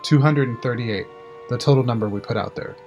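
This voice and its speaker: A man's voice: mid-range voice, fairly quick, a little mellow, highs cut off, soft background music, close to an everyday voice. A man acting a matter-of-fact, dry control room engineer in an audio drama. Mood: businesslike.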